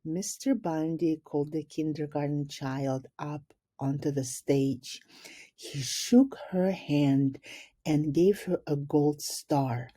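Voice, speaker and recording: A woman with a fairly low, relaxed voice reads a picture book aloud. She takes her time and is deliberate, like a teacher who pauses to explain things to children. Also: no room sound. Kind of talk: storybook read-aloud with brief explanations.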